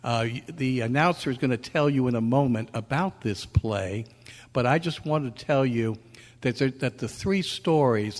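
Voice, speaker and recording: A man, a playwright, introducing his work to a live audience in a halting, earnest way, in a reverberant meeting room. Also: mid-range voice, darkish tone. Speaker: playwright and director. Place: large meeting room at a convention. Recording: echoey hall, long reverb.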